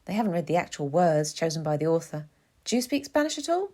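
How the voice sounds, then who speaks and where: A woman's voice: lowish voice, brisk, mellow. A woman voicing a nervous, chatty, bookish young man in an audiobook, his sentences running into one another. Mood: eager, curious.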